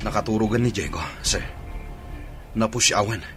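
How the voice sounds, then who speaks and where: A man's voice: on the low side, moderate pace, fairly bright tone, faint music behind, lightly acted. Earnest, worried soldier acted by a man. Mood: worried, urgent. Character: dutiful soldier, worried and caring subordinate. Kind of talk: Ilocano radio drama acting.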